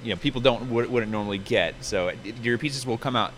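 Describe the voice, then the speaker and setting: A man's voice: medium pitch, quick pace, fairly bright tone, slight background noise. A man chatting casually and at length as a restaurant manager being interviewed on site, talking in a rambling way. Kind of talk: casual promotional interview chat. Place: inside a pizza restaurant.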